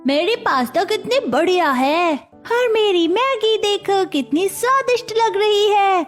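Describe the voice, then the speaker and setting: A woman's voice: very high-pitched, animated, measured pace, faint music behind. A woman voicing a pleading, complaining, stubborn young schoolchild in a cartoon story. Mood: boastful. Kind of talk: Hindi cartoon story dialogue.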